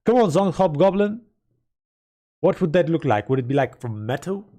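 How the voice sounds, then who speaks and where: A man streaming and chatting casually with his viewers in a rambling, playful, mock-dramatic way, his voice hoarse from a sore throat. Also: fairly high pitch, big pitch swings, normal speed, dark tone. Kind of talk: casual livestream chat with viewers.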